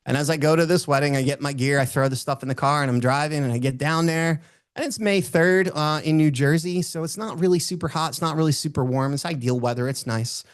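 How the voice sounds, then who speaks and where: A man with a booming voice speaking as a motivational speaker, telling personal stories and repeating phrases for emphasis. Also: a little fast, clear, resonant tone, dry recording, studio quality. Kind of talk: motivational talk with personal storytelling.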